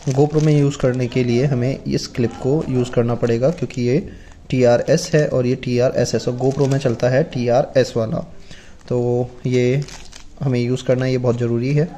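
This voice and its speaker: Man, casual unboxing vlog, flat even delivery, mellow tone. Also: medium pitch, normal speed, a little hiss or hum. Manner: explains step by step while handling gear. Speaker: motovlogger reviewing gear. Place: indoors at a table, off camera.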